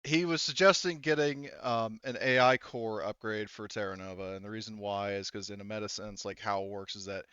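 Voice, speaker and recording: A man speaking casually and haltingly as he narrates and runs a tabletop roleplaying game as game master. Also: fairly low pitch, fairly even intonation, bright, forceful tone, clean tone, dry recording, muffled recording. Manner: halting, conversational, casual swearing.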